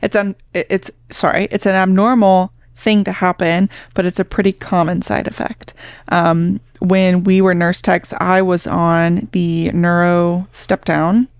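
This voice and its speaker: A woman's voice: lowish voice, level tone, measured pace, clear, resonant tone, dry recording, clean studio sound. A woman hosting a podcast in a casual, frank, conversational way, sometimes swearing as a nurse talking shop.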